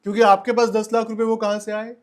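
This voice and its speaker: A man's voice: high voice, flat delivery, quick pace. A man giving explanatory answers as a legal expert on a podcast, emphatically repeating key points and mixing in English terms.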